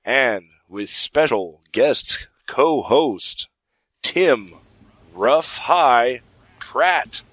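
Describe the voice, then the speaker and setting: A man hosting a casual podcast, relaxed and joking as he runs the show. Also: mid-range voice, extremely slow, no room sound, rough recording. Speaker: podcast host.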